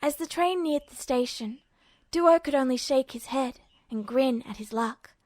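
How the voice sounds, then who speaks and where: A woman's voice: sits high, a little slow, darkish tone, no echo. A woman reading a scripted piece of fiction aloud as an amateur audiobook narrator.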